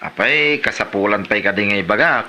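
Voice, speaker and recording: A man's voice: lowish voice, brisk, bright, forceful tone, a little hiss or hum. A man playing a pushy, teasing cousin in an Ilocano comedy drama, speaking with an Ilocano accent. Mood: insistent.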